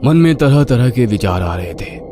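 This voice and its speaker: A man's voice: mid-range voice, very animated, moderate pace. A man narrating a scripted horror story in a dramatic storytelling style. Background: clear background music.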